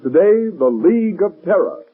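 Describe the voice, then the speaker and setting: A man announcing and reading advertising in an emphatic, deliberate, salesmanlike old radio style. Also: high-pitched, sing-song, breathy edge, soft background music. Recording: tinny, narrow-band old radio recording.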